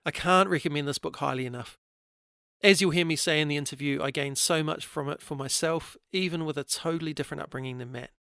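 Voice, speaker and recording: Nz guy hosting a podcast, bit gravelly, earnest, dry close mic sound. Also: on the high side, fast, sharp tone, dry recording. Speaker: podcast host. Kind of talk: scripted intro then casual interview.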